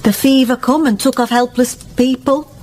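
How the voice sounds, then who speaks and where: A woman's voice: sits high, steady tone, normal speed, a little mellow. An actress playing a kindly, pious village woman, homely and earnest, in a period radio drama. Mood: puzzled, earnest.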